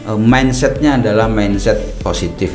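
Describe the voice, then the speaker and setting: Middle-aged male guest from Banyumas, low voice, relaxed and chatty, full of fillers; echoey room, music bed. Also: moderate pace. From Purbalingga, Banyumas, Central Java. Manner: relaxed, talkative, frequent fillers and restarts. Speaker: media director, podcast guest. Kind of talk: casual podcast interview.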